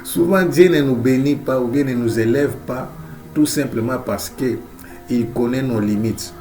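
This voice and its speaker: A man's voice: mid-range voice, a little slow, mellow, soft background music. A male preacher with a cold, delivering an emphatic sermon that repeats key phrases, with frequent amens and alleluias. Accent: Central African French. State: has a cold.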